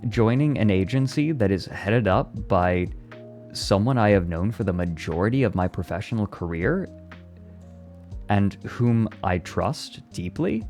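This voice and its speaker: A man's voice: lowish voice, lively intonation, measured pace, darkish tone, soft background music. A young man chatting casually on a live stream, reading and answering his chat and laughing often. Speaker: VTuber streamer.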